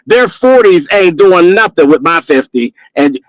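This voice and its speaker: Blunt, sassy man in his fifties with a high voice, recorded dry and close. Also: sing-song, unhurried, dry recording, low-quality recording. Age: 50s. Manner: sassy, blunt, gossipy with cussing. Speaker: guest on a live stream. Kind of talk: casual chat and storytelling.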